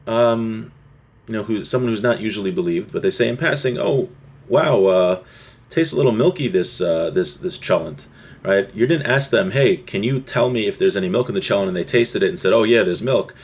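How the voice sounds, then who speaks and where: A man with a fairly low, husky voice teaches a religious text in an informal lesson. He speaks conversationally, explaining as a teacher would to a student. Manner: conversational, explanatory, acts out short examples. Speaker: Torah teacher.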